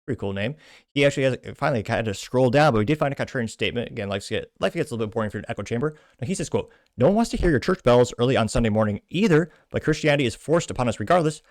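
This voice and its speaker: A man's voice: mid-range voice, lively intonation, very fast, dry recording. A man hosts a casual commentary show in a dry, close-sounding recording. He reads comments aloud in a conversational way, drifting into rambling asides and correcting himself as he goes. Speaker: host.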